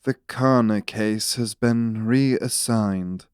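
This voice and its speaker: Thirties noble minister, upper-class accent, clean resonant voice, formal, deliberate and unhurried. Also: male voice, on the low side, darkish tone, smooth, dry recording, clean studio sound. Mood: grave, measured. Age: 30s. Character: noble government minister in his mid-thirties, calmly measured. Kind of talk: fantasy audio drama acting.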